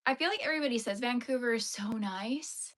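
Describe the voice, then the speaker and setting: A woman's voice: a little high, a little fast, fairly bright tone. A young woman, chatting playfully with her livestream viewers while she crochets. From Canada. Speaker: livestream host.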